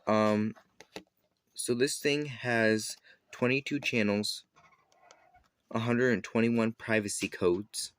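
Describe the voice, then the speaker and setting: A man with a fairly low voice talks through a product review in an informal, unscripted way. He explains step by step, a little haltingly, often backing up to correct his wording. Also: fairly even intonation, a little hiss or hum. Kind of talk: casual unscripted product demonstration.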